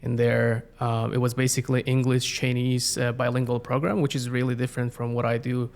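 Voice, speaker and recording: A man in his thirties from Russia, whose first language is Yakut, speaking haltingly with many fillers as an interview guest. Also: medium pitch, little pitch movement, vocal fry, a little mellow. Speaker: guest, community planner. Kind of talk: casual interview chat.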